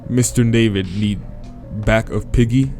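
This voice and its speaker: A man's voice: lowish voice, fairly even intonation, measured pace, warm tone, soft background music, close to an everyday voice. Man voicing an eager, simple-spoken monster helper. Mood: eager, polite. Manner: broken, deferential phrasing.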